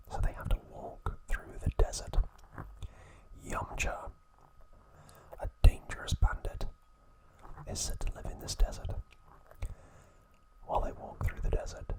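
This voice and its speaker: A man whispering slowly and deliberately in short, hushed phrases, narrating as an ASMR host close to the microphone. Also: low-quality recording. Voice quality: breathy, unvoiced whisper.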